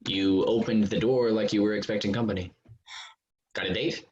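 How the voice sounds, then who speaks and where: A man's voice: on the low side, fairly even intonation, normal speed, a little mellow, slight background noise, natural voice. A man playing a controlling, insinuating ex-soldier, casual and pressing, in a play reading with dull call audio. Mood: needling. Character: insinuating, controlling ex-soldier, abusive former partner. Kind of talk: staged play reading over video call.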